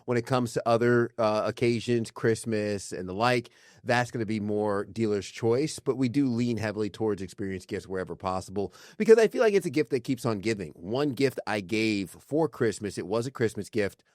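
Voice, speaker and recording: A man's voice: on the low side, animated, normal speed, darkish tone, dry recording, studio quality. A man talking casually as a panelist on a morning TV show. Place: TV studio.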